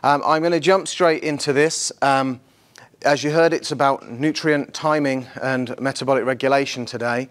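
A middle-aged man with a slightly husky voice, giving an academic conference lecture in an informal, lightly self-deprecating manner. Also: mid-range voice, steady tone, normal speed, fairly bright tone. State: slightly husky from the night before. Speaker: university professor, conference speaker. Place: large lecture theatre, on stage before an audience.

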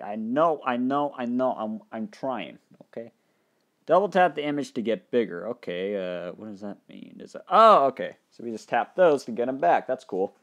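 A young man hosting a casual game commentary, thinking aloud and breaking into loud excited outbursts, his mouth dry and his voice getting tired. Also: medium pitch, highs cut off. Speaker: gaming channel host. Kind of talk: casual let's play commentary.

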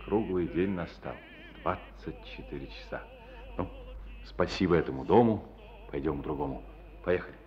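An actor playing a tireless party district secretary in a Soviet radio play, steady and earnest. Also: male voice, low-pitched, moderate pace, pauses often, natural voice. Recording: dull old archival radio recording.

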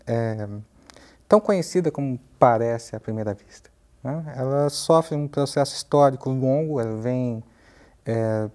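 A man in his fifties with a Brazilian accent, a professor explaining ideas in a measured, halting way in a studio interview. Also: mid-range voice, dark tone. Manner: measured, halting, searching for words. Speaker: university professor, interview guest. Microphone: lapel mic.

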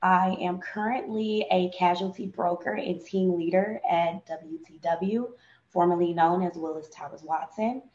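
A woman's voice: on the low side, a little slow, darkish tone. A woman working as an insurance broker, introducing herself on a video call in a hesitant way with many fillers. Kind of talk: casual self-introduction. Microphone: webcam video call. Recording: roomy, dull-sounding webcam audio.

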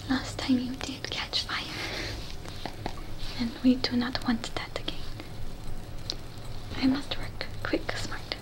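A young woman playing a patient robot repair technician in a soothing, soft-spoken ASMR roleplay. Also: high voice, level tone, rough recording. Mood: patient, questioning. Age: young adult. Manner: soothing, slow, reassuring. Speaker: ASMR performer. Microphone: close microphone.

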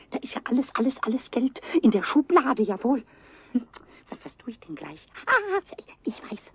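A man playing a cheeky, fearful, excitable little kobold in a children's radio play, with a raspy squawk and stammered repetitions. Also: extremely high pitch, dry recording, exaggerated voice. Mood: suspicious muttering. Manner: stammering, repeating words excitedly.

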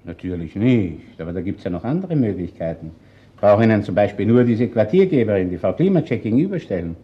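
An actor with an Austrian accent playing a composed, shrewd chief inspector in a radio crime drama, courteous but firm. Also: male voice, lowish voice, big pitch swings, fast talker, highs cut off.

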